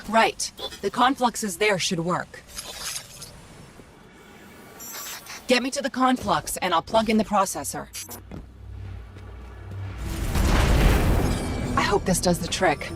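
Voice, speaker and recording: An actress playing a witty, upbeat little AI companion in a video game, brisk and confident. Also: female voice, mid-range voice, expressive, natural voice. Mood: focused. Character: witty, upbeat female Ghost, small AI companion. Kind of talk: scripted video game voice acting. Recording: music playing behind the voice.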